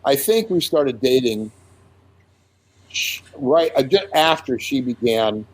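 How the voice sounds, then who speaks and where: Older man reminiscing, mid-pitched. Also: expressive, husky, low-quality recording. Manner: reminiscing, unhurried, with frequent restarts. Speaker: interview guest, comic artist. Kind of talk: casual conversational interview.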